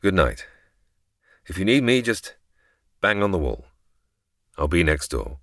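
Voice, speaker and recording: An actor playing a suave, reassuring man with hidden motives in a radio drama, calm and evasive in manner. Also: deep voice, a little slow, creaky, full character voice. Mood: gentle, firm.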